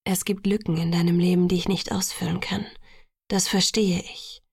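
A patient, soothing young lover in an audiobook, played by a woman. He speaks in a soft murmur, breathy and gravelly at the edges. The sound is close and dry. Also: lowish voice, flat delivery, normal speed, no echo. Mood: soft, understanding. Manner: hushed, murmured, softly spoken. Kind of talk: audiobook dialogue.

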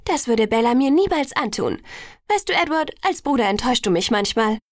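A woman voicing an excitable, stubborn young woman in an audiobook, girlish and dramatically pleading. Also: sits high, normal speed, no echo, studio quality, voice pushed up. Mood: gleeful, smug.

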